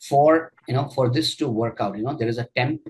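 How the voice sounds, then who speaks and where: Husky-voiced man explaining software steps. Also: medium pitch, a little fast. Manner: explanatory, frequent fillers and "okay" checks. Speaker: software trainer. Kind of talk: screen-share walkthrough tutorial.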